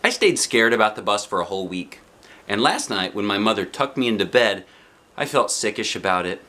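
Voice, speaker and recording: A man's voice: lowish voice, big pitch swings, a little fast, pushed and bright. A man reading a children's storybook as an anxious, outspoken little kindergarten girl, with blunt, emphatic childlike phrasing. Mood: worried.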